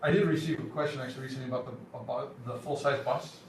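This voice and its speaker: Board member guy talking fast, super echoey room, far from mic. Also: mid-range voice, steady tone, noisy background. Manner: quick, self-correcting. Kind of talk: meeting questions.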